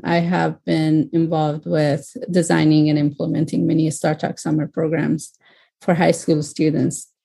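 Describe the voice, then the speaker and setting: A woman panelist giving a measured, slightly formal answer about her work in education. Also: low voice, vocal fry, warm tone, slightly rough. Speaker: panelist, language school vice principal.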